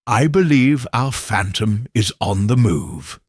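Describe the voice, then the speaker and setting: A man voicing a composed, sharp-witted detective who talks low and measured. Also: expressive, husky, no echo, clean studio sound.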